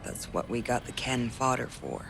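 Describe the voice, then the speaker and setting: A man's voice: medium pitch, moderate pace, gravelly, noisy background, natural voice. A man playing a cocky, foul-mouthed, cynical mercenary in a video game cutscene, with a brash sneering manner. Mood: bitter, sneering.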